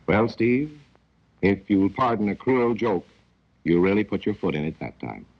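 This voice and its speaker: Sardonic american 1940s training film narrator, kinda husky, clipped, dull old film sound. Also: male voice, on the low side, expressive, moderate pace. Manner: sardonic, clipped, dramatic delivery. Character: stern, sardonic male narrator taunting a captured agent. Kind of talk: 1940s training film narration, scripted, addressing the agent directly.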